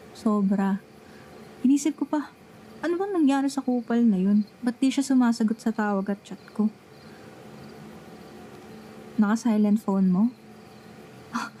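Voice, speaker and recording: A woman's voice: mid-range voice, dark tone, slight background noise, lightly acted, low-quality recording. A young woman with a Filipino accent playing a jealous, sulky best friend who fakes indifference in an ASMR roleplay. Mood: exasperated, hurt. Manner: dramatic, sulky, sarcastic. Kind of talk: ASMR roleplay, one-sided dialogue.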